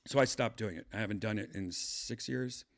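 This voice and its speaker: A man in his fifties with a lowish voice talks loosely to his livestream chat. He meanders, trails off mid-thought and restarts his sentences, filling the gaps with ums and uhs. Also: fairly quick. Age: 50s. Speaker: livestream host. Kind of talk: rambling casual livestream chat.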